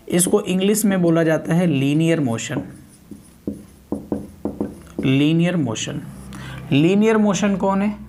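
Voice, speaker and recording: A man's voice: on the high side, dark tone, slight background noise. A man lecturing as a physics teacher, repeating key terms, asking rhetorical questions and mixing in English technical words. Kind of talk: exam coaching lecture with everyday examples.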